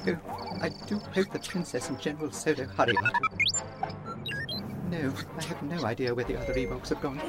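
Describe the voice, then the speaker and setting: An actor playing a fussy, anxious, prim humanoid robot in a radio drama, speaking in a formal, fretful manner. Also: male voice, mid-range voice, brisk, long pauses, lightly acted. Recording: loud music and effects behind.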